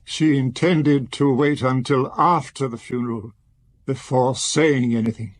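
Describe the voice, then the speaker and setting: Guy playing a solemn priest in a radio play, husky medium voice, very polite and formal, careful wording. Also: medium pitch, steady tone, no echo, natural voice. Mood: grave, courteous. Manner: formal, deliberate, courteous. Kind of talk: radio drama acting.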